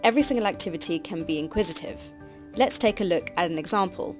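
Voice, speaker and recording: A woman giving scripted narration for a teacher training course, reading in an even, measured way. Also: fairly low pitch. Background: background music.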